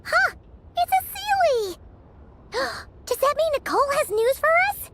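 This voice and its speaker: A woman voicing an excitable, cheeky female adventurer companion in a fantasy game, with exclamatory delivery. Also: very high voice, unhurried, very noisy, full character voice. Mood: excited. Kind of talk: scripted game dialogue acting.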